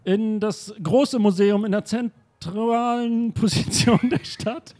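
A man's voice: high voice, fairly even intonation, takes it slowly, dark tone. A man acting a fussy, urgent museum director in an improvised audio drama.

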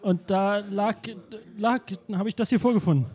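A man's voice: high-pitched, moderate pace, dark tone, lightly acted. A man playing a bewildered, flustered museum director in an audio drama, stammering and repeating his words. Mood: shaken. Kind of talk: improvised German audio drama.

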